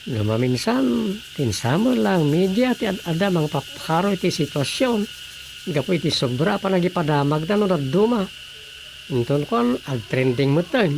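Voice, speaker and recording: A man's voice: on the high side, very animated, moderate pace, gravelly, a little hiss or hum, rough recording. A man with a Filipino accent acting an easygoing husband in a radio drama. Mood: conversational.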